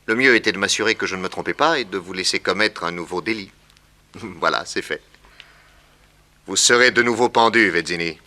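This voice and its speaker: An actor playing a confident, shrewd detective in a 1960s French radio crime serial, assured, wry and commanding. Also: male voice, low-pitched, brisk, long pauses, bright, forceful tone. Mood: wry, triumphant.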